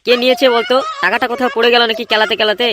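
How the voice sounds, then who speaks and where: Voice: medium pitch, flat delivery, rapid-fire, background noise, natural voice. A performer voicing an irritable, blustering and easily flustered male gang boss in a comedy cartoon. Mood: exasperated. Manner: blustering, complaining.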